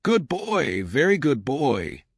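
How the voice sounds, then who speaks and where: Cruel gloating villain, acted by a man, swinging pitch. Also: huge pitch swings, takes it slowly, breathy edge, no echo, clean studio sound, full character voice. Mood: excited, triumphant. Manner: menacing, triumphant. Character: cruel, obsessive male villain, gloating with menace. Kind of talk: audiobook character acting.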